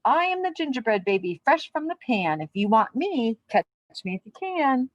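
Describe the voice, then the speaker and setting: A woman's voice: high-pitched, sing-song, moderate pace, no room sound, muffled recording, pitched above the performer's own voice. A woman voicing a cheeky, boastful little gingerbread baby from a storybook, chanting taunts in a sing-song rhyme. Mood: taunting.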